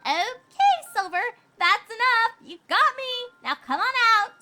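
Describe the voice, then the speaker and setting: A woman's voice: very high voice, lively intonation, unhurried, bright, forceful tone, soft background music, voice pushed up, full character voice. A woman voicing a bossy, panicky young female pony who snaps and accuses, in a story reading. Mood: nervous, hissing. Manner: accusing, snappish.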